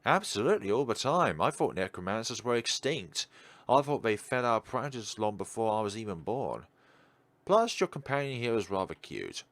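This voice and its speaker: A man's voice: lowish voice, big pitch swings, normal speed, a bit bright, dry recording. A man voicing an eager, curious young alchemist hero in a fantasy visual novel, talking conversationally. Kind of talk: read-aloud visual novel dialogue. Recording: background music under voice.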